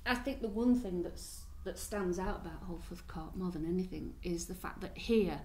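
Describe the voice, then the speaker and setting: A woman's voice: lowish voice, moderate pace, dark tone, a little hiss or hum, low-quality recording. A middle-aged woman who works as a hostel support worker, speaking earnestly in an interview with a few restarts. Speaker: independent living skills worker at a hostel. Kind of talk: interview talk.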